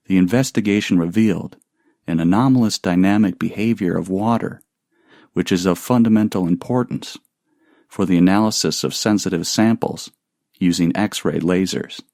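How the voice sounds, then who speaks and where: A man reading academic practice texts aloud from a script, deliberately and phrase by phrase. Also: deep voice, a little mellow, no room sound, clean studio sound.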